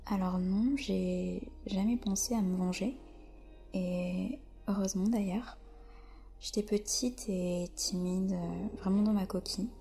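A soft-spoken French woman, a podcast guest, answering slowly with many hesitant pauses in a whispery voice. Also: medium pitch, steady tone, creaky, faint music behind. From France. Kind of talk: podcast interview.

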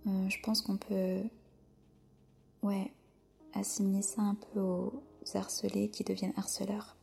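Soft, hesitant woman with a dark gravelly voice. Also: mid-range voice, completely flat delivery, soft background music. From France. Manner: soft-spoken, hesitant, many pauses. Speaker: guest. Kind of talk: podcast interview.

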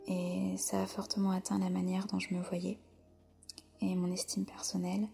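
A soft-spoken French woman, a podcast guest, answering slowly with many hesitant pauses in a whispery voice. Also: on the low side, monotone, creaky, faint music behind. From France. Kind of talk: podcast interview.

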